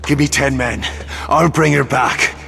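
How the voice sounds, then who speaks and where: An actor playing a hot-headed Irish saboteur in a video game, blunt and stubborn, with a gravelly Irish voice. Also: male voice, mid-range voice, a little slow, sharp tone, exaggerated voice. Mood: angry, desperate. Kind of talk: dramatic video game acting.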